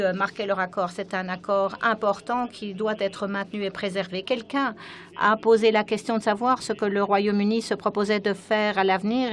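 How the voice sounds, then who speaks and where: A woman giving simultaneous interpretation of speeches at a parliamentary conference, often pausing with hesitant fillers. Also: medium pitch. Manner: frequent hesitant fillers while keeping up with speakers.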